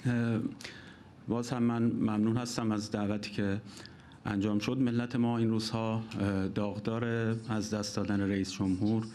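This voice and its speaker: A man's voice: lowish voice, flat delivery, rapid-fire, mellow, slight background noise. Man, Persian native and accent, news agency head; formal, hesitant remarks. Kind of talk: formal remarks at a press meeting.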